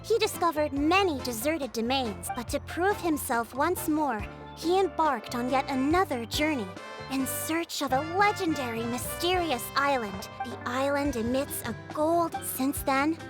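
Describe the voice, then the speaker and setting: A woman's voice: high-pitched, lively intonation, measured pace. A woman playing a friendly, playful young female village chief in a video game, speaking politely. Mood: storytelling. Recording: music behind the voice.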